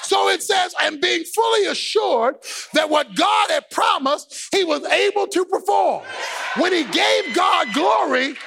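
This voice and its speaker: A pastor preaching a sermon with passion, shouting in a repetitive, sing-song cadence. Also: male voice, very high-pitched, lively intonation, unhurried, creaky, a faint crowd.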